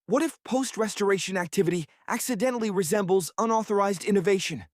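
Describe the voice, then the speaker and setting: An actor playing a fussy, worried church member who speaks anxiously. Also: male voice, sits high, fast, no room sound, clean studio sound, natural voice.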